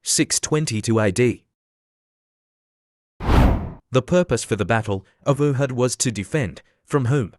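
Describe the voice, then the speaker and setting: A man hosting a quiz, reading out questions and answers in a plain, measured way. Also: mid-range voice. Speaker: quiz host. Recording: clear steady background noise.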